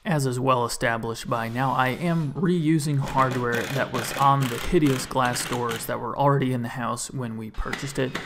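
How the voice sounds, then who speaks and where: A man's voice: mid-range voice, steady tone, moderate pace, hardly any pauses, background noise. A man in his thirties, host of a woodworking channel, narrating his build in a voice-over and explaining each step with upbeat enthusiasm for his tools.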